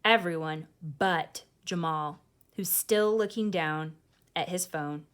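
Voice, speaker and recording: A woman's voice: fairly low pitch, takes it slowly, a bit bright, clear, resonant tone. A woman reading a picture book aloud like a teacher, expressively, with casual asides explaining feelings to young listeners.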